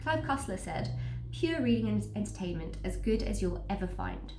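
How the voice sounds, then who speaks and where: A woman's voice: mid-range voice, sing-song, normal speed. Upbeat woman with a stuffy cold. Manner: chatty, upbeat, restarts sentences. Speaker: book vlogger unboxing a subscription box. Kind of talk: casual unboxing chat with read-aloud blurbs. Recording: echoey room with faint noise.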